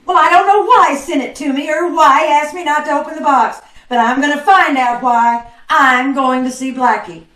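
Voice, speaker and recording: A woman playing a plucky, flustered girlfriend of a suspect in an old-time radio drama, exclamatory and quick to quip, in a roomy space. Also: high voice, fairly bright tone. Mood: shocked, indignant. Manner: exclamatory, quipping. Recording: roomy, echoing video call sound.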